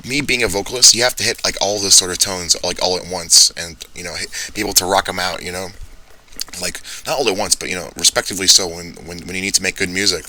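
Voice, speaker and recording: A young man with a deep baritone voice rambling through a casual monologue, swearing often and laughing at his own jokes. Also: pushed and bright, rough recording. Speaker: vlogger. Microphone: handheld or phone mic, speaking softly.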